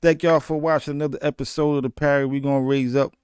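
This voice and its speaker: A laid-back man, co-hosting a boxing podcast. His voice is smooth and resonant, set in a dry, close recording with no room sound. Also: fairly high pitch, level tone, fast talker, a little mellow, no room sound. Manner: laid-back, repeats "you know what I'm saying". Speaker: podcast co-host. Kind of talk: casual boxing podcast chat.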